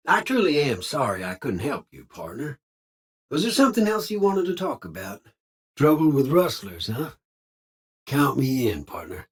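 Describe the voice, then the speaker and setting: Game robot cowboy: lively, swooping Western American drawl, slightly rough-edged, folksy and quick on the draw. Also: male voice, mid-range voice. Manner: drawling, colorful frontier slang. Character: folksy old-time cowboy security robot, cheerful yet trigger-happy. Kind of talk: video game character voice lines.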